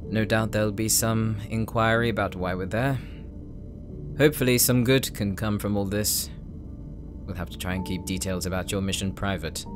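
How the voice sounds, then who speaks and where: A man's voice: fairly low pitch, normal speed, natural voice. A man playing a serious, wary, dutiful brother in an anime-style audio drama, speaking earnestly and formally. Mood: wary, concerned. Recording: prominent music behind the voice.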